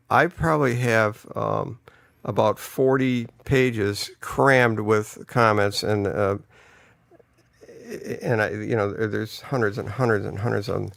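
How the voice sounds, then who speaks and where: An older man reminiscing as a guest, talking haltingly with frequent restarts and fillers. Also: fairly low pitch, vocal fry, fairly bright tone, dry recording, clean studio sound. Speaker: guest, son of the pianist. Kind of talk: reminiscing and reading listener comments.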